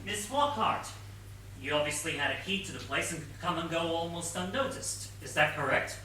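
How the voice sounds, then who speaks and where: An actor playing an earnest, officious police inspector in a stage whodunit, questioning in a formal manner. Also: male voice, a little high, expressive, moderate pace, sharp tone. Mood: officious, probing. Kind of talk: amateur one-act stage play acting. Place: indoor theatre stage before an audience. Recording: echoey theatre stage from far, faint noise.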